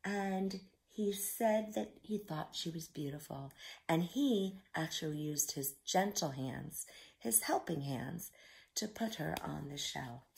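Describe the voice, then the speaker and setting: A woman reading a story aloud to young children, explaining simply with drawn-out emphasis like a teacher. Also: low-pitched, expressive, vocal fry.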